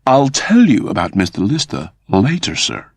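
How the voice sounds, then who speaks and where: A courteous male android servant from a sci-fi audiobook, acted out by a man. He is soothing and deferential, cheerfully understating everything in a very lively melody. The voice is slightly husky. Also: lowish voice, normal speed, dry recording, studio quality, heavily acted. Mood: polite, calm.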